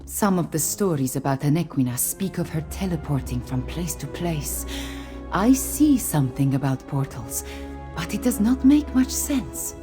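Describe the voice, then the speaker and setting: Game casting: composed feline queen, mystical and earnest, slightly low dark voice over soft music. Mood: musing. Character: regal female cat-folk queen, mystical and composed. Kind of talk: video game character dialogue.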